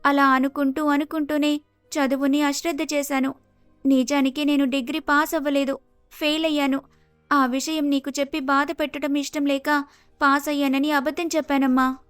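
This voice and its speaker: A woman's voice: high voice, level tone, normal speed, no room sound, faint music behind, natural voice. A woman voicing a suffering, regretful young married daughter in a melodramatic, plaintive story style.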